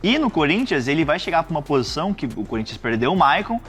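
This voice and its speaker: Quick brazilian guy talking football, highish voice, faint music under. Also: big pitch swings, a bit bright. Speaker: football panelist. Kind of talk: casual sports debate.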